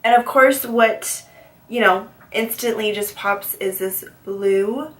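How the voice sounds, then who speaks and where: A young woman chatting through a makeup tutorial with a head cold, sniffly and a little raspy, rambling and repeating herself. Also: medium pitch, takes it slowly, music in the background. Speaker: beauty vlogger. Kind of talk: casual makeup tutorial chat.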